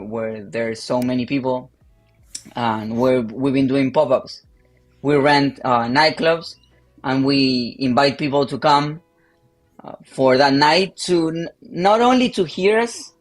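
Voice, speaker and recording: A Spanish-speaking pastor from Argentina with an Argentine accent, speaking English in a panel conversation, halting with many fillers. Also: male voice, medium pitch. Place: livestream call.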